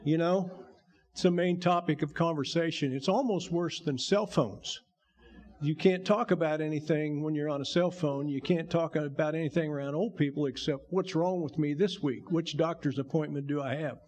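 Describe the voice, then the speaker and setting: An older man with a gravelly voice, a bishop giving a folksy, joking testimony to his church. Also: a little high, normal speed, a little mellow. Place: church sanctuary.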